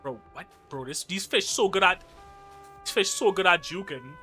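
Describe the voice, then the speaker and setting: Bright-voiced Trinidadian man streaming a game. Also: sits high, normal speed, soft background music. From Trinidad and Tobago. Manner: chatty, joking, reads chat aloud. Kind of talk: casual live-stream gaming chat.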